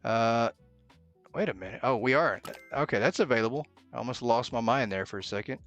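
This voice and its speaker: A man's voice: medium pitch, fairly quick, fairly bright tone, lo-fi sound. A man with a middle-range voice streams a video game over background music. He talks through what he is doing as he goes, muttering to himself in live commentary. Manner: thinks aloud, mutters to himself.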